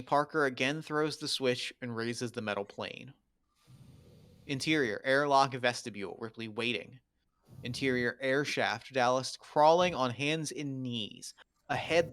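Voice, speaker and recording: A man's voice: mid-range voice, fairly bright tone, dry recording. A man narrating screenplay action lines at a table read in short scripted statements.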